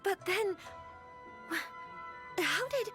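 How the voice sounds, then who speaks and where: A woman playing a gentle, anxious young noblewoman in a video game, speaking politely and hesitantly with refined manners. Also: very high-pitched, expressive, drawn-out words, music in the background, exaggerated voice. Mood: surprised, flustered. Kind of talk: scripted video game voice acting.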